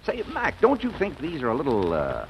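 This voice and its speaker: A man's voice: medium pitch, wildly swooping pitch, fairly quick, gravelly, muffled recording, slight background noise. An actor playing a breezy, self-assured businessman giddy with infatuation in a 1940s radio comedy, on an old broadcast recording. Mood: enthusiastic pitching. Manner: exuberant, distracted, hums to himself.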